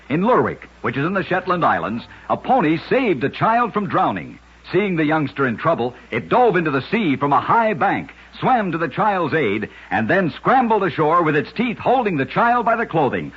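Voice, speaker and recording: A man hosting short radio tales of oddities, reading scripted narration in a measured old-time style with emphatic sign-offs. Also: a little high, husky. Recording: dull, lo-fi old radio broadcast.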